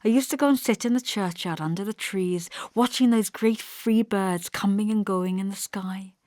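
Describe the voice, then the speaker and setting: A woman voicing a brittle, wistful young pregnant wife in an audiobook, with a detached manner that drifts from bright to toneless. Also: medium pitch, moderate pace, no echo, clean studio sound, voice pushed up, natural voice.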